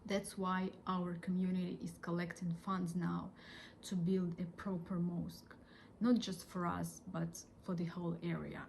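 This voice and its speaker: A woman speaks in a lowish voice, telling her own faith story earnestly and gently. She pauses often and fills the gaps with soft "um"s and "uh"s. A faint hiss sits under her words. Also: little pitch movement, vocal fry. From Russia. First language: Russian. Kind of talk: personal testimony.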